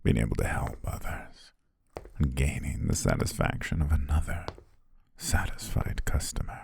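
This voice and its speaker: A man playing a courteous, devious hypnotist in an ASMR roleplay, speaking in a soothing, breathy whisper with genteel, deliberate pauses. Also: sing-song, a little mellow, a little hiss or hum, full character voice. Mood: smooth, reassuring. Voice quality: whispery, breathy, very low and gravelly. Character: devious, courteous male hypnotist welcoming a client.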